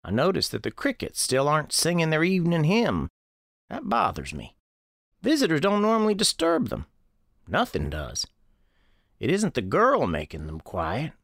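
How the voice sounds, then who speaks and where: A man performs as an old American country storekeeper in a radio drama. He tells his tale in a folksy way, watchful and gentle, with a lively range of pitch and a close, dry sound. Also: creaky, no echo, exaggerated voice. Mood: uneasy, watchful. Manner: folksy, unhurried storytelling. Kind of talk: first-person scripted storytelling in radio drama.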